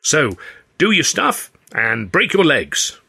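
A man's voice: a little high, sing-song, takes it slowly, vocal fry, sharp tone, slightly rough, pitched above the performer's own voice, exaggerated voice. A man voicing a prosperous, confident male theatrical agent in an audiobook, with a hearty manner. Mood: encouraging. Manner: hearty, self-assured.

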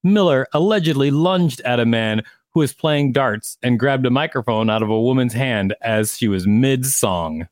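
A man's voice: medium pitch, normal speed, few pauses, no room sound, studio quality. A man co-hosting a podcast, reading an article aloud and adding casual asides. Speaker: podcast co-host.

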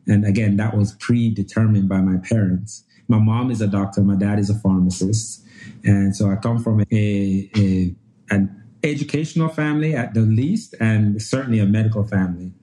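A man from Nigeria in his thirties, a guest pulmonologist who tells his story in a relaxed way, often restarting and repeating phrases. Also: on the low side, normal speed, low-quality recording.

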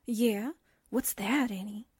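A woman's voice: a little high, slow, a little mellow, breathy edge, no echo, studio quality, heavily acted. An actress playing an anxious, doubting young woman assistant in a 1940s-style radio drama, hesitant and wondering. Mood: curious, concerned.

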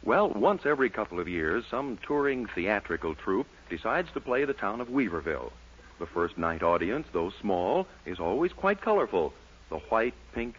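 A man delivering scripted comic narration as the announcer of a 1940s radio show. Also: on the low side. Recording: muffled, noisy 1940s radio recording.

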